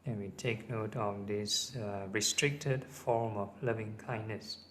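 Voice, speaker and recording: A man teaching guided meditation, giving gentle, measured instructions. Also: lowish voice, fairly even intonation. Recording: clearly audible ambient background noise.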